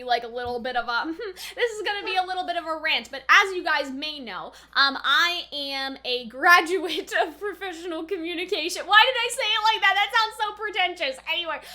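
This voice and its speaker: Bright, high-voiced young woman chatting casually. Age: young adult. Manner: informal, speculative, peppered with "like". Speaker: anime reaction host. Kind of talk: casual chatty commentary and review.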